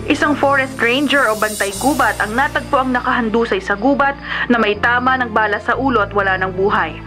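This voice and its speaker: An actress with a Filipino accent playing a formal radio newsreader in a Tagalog radio drama. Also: female voice, a little high, brisk, close to an everyday voice. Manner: formal, factual. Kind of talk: scripted news reading in radio drama. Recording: music behind the voice. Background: music.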